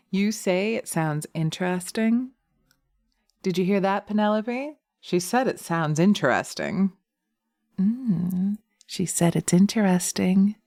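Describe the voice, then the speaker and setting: A seductive, dominant witch acted by a woman speaks in a lowish, very soft and mellow voice. She is slow and coaxing, teasing with a sinister sweetness, and often slips into whispers. The sound is dry and intimate, close to a binaural mic. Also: creaky, no room sound, heavily acted. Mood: sly, teasing. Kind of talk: breathy binaural hypnosis roleplay audio. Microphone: close binaural microphone.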